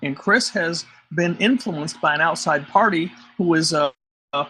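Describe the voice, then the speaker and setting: A man's voice: on the high side, a little slow, sharp tone, a little hiss or hum, rough recording. A man in an online community discussion, speaking firmly and measuredly. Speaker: community member.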